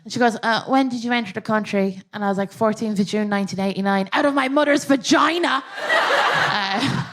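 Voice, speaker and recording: A woman's voice: mid-range voice, a little fast. A woman around thirty with an Irish accent, co-hosting a live comedy podcast in a chatty, self-mocking way, often laughing as she talks. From born in England, raised in Ireland. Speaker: co-host, comedian. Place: live theatre stage before an audience.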